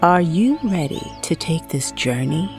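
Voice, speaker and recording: A woman giving scripted, solemn narration for an inspirational video, speaking slowly and earnestly. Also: on the low side, very animated. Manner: slow, solemn, earnest delivery. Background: soft music.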